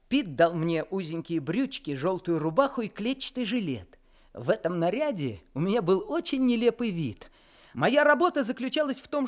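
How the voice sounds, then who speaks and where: A man narrating in the first person as a young man looking back on his life in an old Soviet radio play. He tells the story in a reflective, wistful way. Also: high-pitched.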